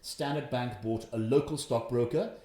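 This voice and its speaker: A man in his fifties with a Welsh accent, telling his story in an interview with animated, emphatic delivery. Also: medium pitch, normal speed, echoey room. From Wales. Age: 50s. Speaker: investment guest. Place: room in his house.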